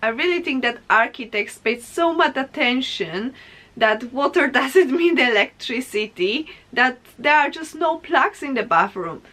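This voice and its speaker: Young woman, Polish accent, native Polish speaker, high-pitched voice, casual animated vlog monologue. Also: unhurried. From Poland. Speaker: vlogger.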